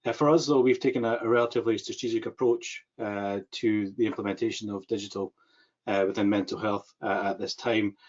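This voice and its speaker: Scottish man, middle-aged, low-pitched presenter with a steady, halting delivery, recorded over a muffled video call. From Scotland. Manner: measured, many "uh" pauses. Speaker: government mental health advisor. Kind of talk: webinar presentation. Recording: dull lo-fi video call audio.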